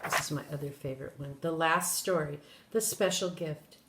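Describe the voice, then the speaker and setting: An older woman reading a children's story aloud in an expressive way, with brief asides. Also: sits low, moderate pace, slight background noise. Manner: expressive, animated. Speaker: storybook reader. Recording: roomy echo.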